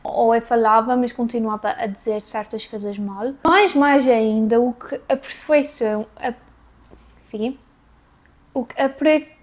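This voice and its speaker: Bright-voiced Azorean woman vlogging. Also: on the high side, fairly even intonation, slow. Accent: Azorean Portuguese mixed with North American English. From Azores, São Miguel. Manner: rambling, self-correcting, with bursts of laughter. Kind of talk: casual talk to camera.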